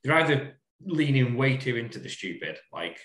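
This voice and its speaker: A man co-hosting a podcast, measured and easygoing in casual chat. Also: medium pitch, big pitch swings, a bit bright, reverberant. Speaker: podcast co-host.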